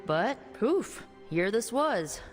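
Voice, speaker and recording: An actress playing a chatty, wry young sorceress in a video game, with a breezy, playful conversational manner. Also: female voice, on the high side, big pitch swings, slow pace, over music. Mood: intrigued, earnest. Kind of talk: video game cutscene acting.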